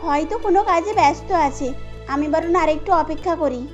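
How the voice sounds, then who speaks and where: A woman's voice: very high voice, moderate pace, dull audio, soft background music. A woman voicing an anxious, wronged young village bride in a cartoon story, plaintive in manner.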